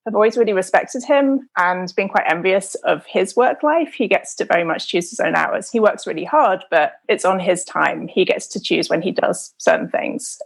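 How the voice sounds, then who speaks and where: A middle-aged woman from the UK with a British accent softened by years in America, telling her story casually as an interview guest. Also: fairly low pitch, creaky. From United Kingdom. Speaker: interview guest, photographer and potter.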